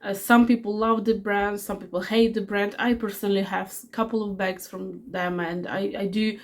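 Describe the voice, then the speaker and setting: Casual: forties lady fighting a cold, rambly perfume review, lots of ums and restarts, mid-pitched voice. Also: level tone, normal speed. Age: 40s. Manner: chatty, rambling with many fillers and restarts. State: has a cold. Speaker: fragrance reviewer. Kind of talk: casual product review vlog.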